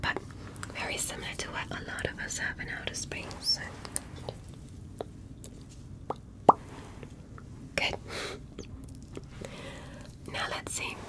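A woman playing a polite, curious alien doctor in an ASMR medical roleplay, speaking gently and carefully in a breathy whisper with formal, reassuring phrasing. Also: normal speed, lightly acted. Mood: soothing, attentive. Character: polite, curious female alien doctor examining a human patient.

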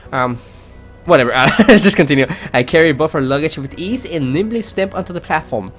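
A man's voice: a little high, animated, a little fast, a bit bright. A man hosting a let's play, reading game text aloud with casual commentary. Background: game music.

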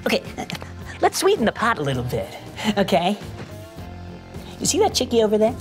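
An actor playing a pushy, eager, wheedling hustler making a deal. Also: male voice, sits high, huge pitch swings, brisk, soft background music.

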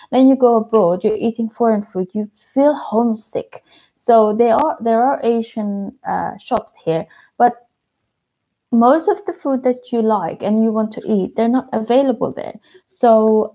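A woman's voice: fairly high pitch, dull audio. A Filipino woman in her thirties with a Filipino accent, chatting casually on a live unboxing stream, counting snacks aloud and greeting viewers by name. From Philippines. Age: 30s. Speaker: vlogger. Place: living room at home, indoors. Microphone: wired earphone mic on webcam.

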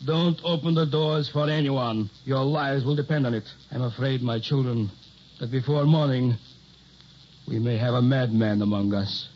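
A man acting a worried, secretive older scientist in a 1960s radio drama, speaking in a measured, formal, solemn way. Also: medium pitch, lightly acted. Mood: grave, confessing. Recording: muffled, noisy old radio recording.

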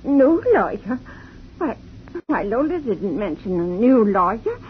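A fluttery, tearful spinster. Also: female voice, mid-range voice, big pitch swings, a little slow, slight background noise. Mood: distraught. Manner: tearful, fussy, flustered. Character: fluttery distraught older spinster friend. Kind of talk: 1950s radio drama acting. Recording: dull lo-fi 1950s radio broadcast.

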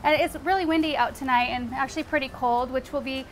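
A woman's voice: high-pitched, steady tone, quick pace, a little hiss or hum, rough recording. A young woman hosting a gardening show, chatting casually and explaining things with light laughs and frequent ums. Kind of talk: casual how-to narration. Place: outdoor backyard garden, windy.

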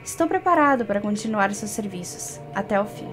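A woman's voice: medium pitch, lively intonation, a little fast. A young adult woman with a Brazilian accent giving casual, playful commentary as she streams gameplay. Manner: playful, talks to the game character and viewers. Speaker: gaming streamer. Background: game music.

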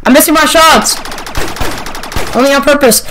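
An excitable gamer giving casual gameplay commentary and calling out to other players in slangy, profane language. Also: sits high, normal speed. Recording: noisy mic with keyboard clicks.

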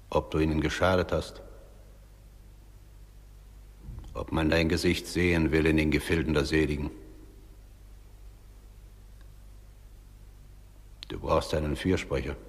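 A man playing a grave, severe judge of the underworld in a radio play, speaking in a measured, declamatory way. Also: deep voice, lightly acted. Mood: solemn. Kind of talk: German radio play acting. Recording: long reverberant hall.

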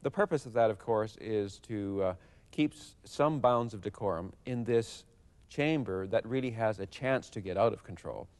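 A man's voice: lowish voice, normal speed, darkish tone, no echo. A middle-aged man answering interview questions thoughtfully, with hesitations and restarts. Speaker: member of parliament interviewed.

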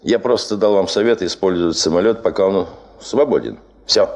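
A man's voice: low-pitched, a little mellow, faint music behind. An actor playing a stern, curt male expedition boss in a 1970s Soviet radio drama. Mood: stern, commanding. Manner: curt, imperative, measured.

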